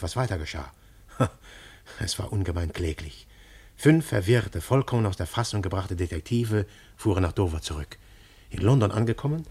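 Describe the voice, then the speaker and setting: An actor narrating a radio play as a hunted male detective, husky and grave. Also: lowish voice. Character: hunted male detective telling his story. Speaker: first-person narrator and detective Syme.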